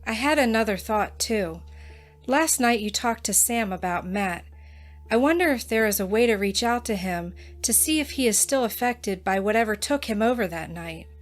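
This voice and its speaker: A woman's voice: on the high side, animated, normal speed, soft background music, close to an everyday voice. An actress playing a practical young female student with magic powers who talks earnestly and keeps proposing plans.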